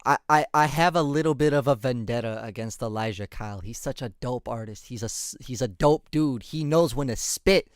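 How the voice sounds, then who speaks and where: A man's voice: medium pitch, normal speed, few pauses, clean tone, no room sound, clean studio sound. A man in his twenties giving laid-back, chatty reaction commentary on music. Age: 20s.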